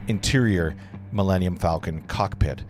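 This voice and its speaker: A man with a lowish voice narrates scene directions at an informal script reading. He reads plainly and sometimes trips over words and fixes them. Guitar music plays clearly behind him. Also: normal speed. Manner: stumbles over words and corrects himself.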